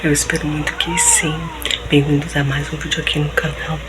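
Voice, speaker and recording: A hoarse Brazilian woman chatting casually to her viewers at home, rambling and checking in with them often, her voice rough after a recent flu. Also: sits low, little pitch movement, fairly quick. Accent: Brazilian Portuguese. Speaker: homemaker vlogger. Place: home, near a running washing machine. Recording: loud household noise, washer and clattering dishes.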